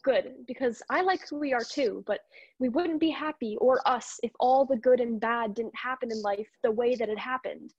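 A woman plays a young dream self in a radio drama, frank and encouraging. Her husky voice sits fairly high and presses each point directly. The sound is dry and slightly dull. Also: normal speed, a little mellow, no room sound. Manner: direct, insistent.

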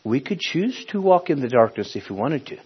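Husky-voiced male preacher from Honduras. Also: medium pitch, very animated. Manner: conversational, halting, searching for words. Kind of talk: sermon with personal storytelling. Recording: dull, muffled lo-fi recording.

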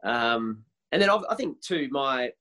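A man's voice: very animated, a little slow, sharp tone. Man in his thirties, eager and chatty. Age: 30s. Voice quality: higher voice. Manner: enthusiastic, many restarts and repeated words. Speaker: worship leader. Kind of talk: casual podcast interview.

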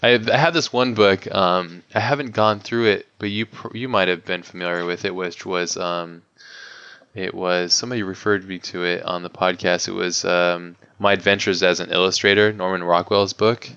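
A man's voice: sits low, steady tone, normal speed, creaky, sharp tone, clear, resonant tone, no room sound, dull audio. A man in his thirties hosting a podcast interview, rambling with frequent restarts and laughs. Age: 30s. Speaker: podcast host. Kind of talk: casual interview chat.

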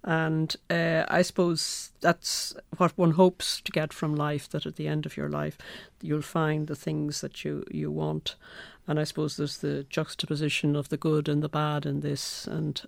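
A woman's voice: deep voice, completely flat delivery, a little slow, creaky, hardly any pauses, no echo. A woman with an Irish accent speaking as a poet and guest reader, in a literary, reflective style. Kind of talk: measured poetry reading and reflective interview talk.

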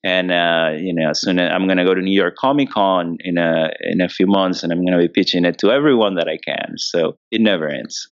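A man from Venezuela speaks in a very low, smooth and resonant voice, answering interview questions at an easy pace. He often pauses with fillers and restarts his sentences as he thinks aloud. The recording is dry, with a slightly dull, muffled top end. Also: steady tone, no echo. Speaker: comic book writer and guest.

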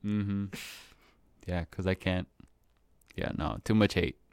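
Low, smooth-voiced laid-back man. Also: slow pace. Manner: relaxed, swears casually, quotes his own thoughts aloud. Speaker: podcast co-host. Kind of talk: casual chat.

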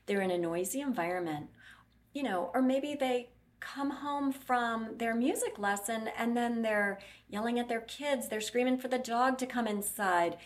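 A woman's voice: a little high, moderate pace. A woman voice clinician answering interview questions bluntly, explaining at length and acting out what her patients say.

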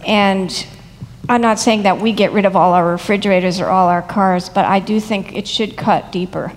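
A woman speaking earnestly and in a measured way on a discussion panel. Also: mid-range voice, steady tone. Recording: long hall reverb.

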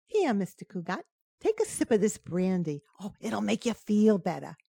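An actress playing a loyal, plain-spoken housemaid who is eager to help, in an old-time radio sitcom. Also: female voice, mid-range voice, very animated, moderate pace, gravelly, mellow, husky, dry recording, clean studio sound, exaggerated voice. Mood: reassuring.

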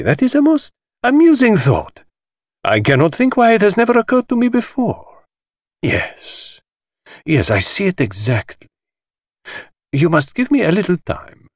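A flamboyant, sinister gentleman host from a mystery audiobook. His delivery swoops extravagantly high and low, drawling and hissing with mockery. The sound is close and dry. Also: no echo, full character voice. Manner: theatrical, hissing, drawling affectation. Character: flamboyant, mocking, sinister middle-aged male party host. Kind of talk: dramatised audiobook dialogue.

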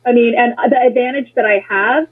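Husky, high-voiced blunt American woman. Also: a little fast. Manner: blunt, sarcastic, swears casually. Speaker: interview guest, former political candidate. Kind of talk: casual conversation, opinionated anecdotes. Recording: muffled lo-fi phone-like sound.

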